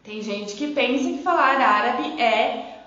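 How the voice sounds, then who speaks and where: A woman's voice: on the high side, slow, bright, forceful tone. A woman with a gaúcho accent from southern Brazil, giving an informal, explanatory live-stream lesson as a teacher and often checking in with tag questions. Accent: southern Brazilian, Rio Grande do Sul (gaúcho). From Rio Grande do Sul, southern Brazil. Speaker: belly dance teacher hosting a live lesson. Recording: very echoey room, dull muffled sound.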